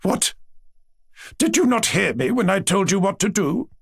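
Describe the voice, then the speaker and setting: A man voicing a stern, wounded aristocratic old grandmother in a storybook, slow, emphatic and imperious. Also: a little high, animated, a bit bright, husky, no room sound, studio quality, heavily acted.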